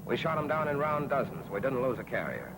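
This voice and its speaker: A man's voice: medium pitch, very animated, brisk. A very noisy 1940s film recording carries an American man with a mid-range voice. He narrates a wartime newsreel from a script. His delivery is punchy, plain-spoken and patriotic, like a serviceman's storyteller.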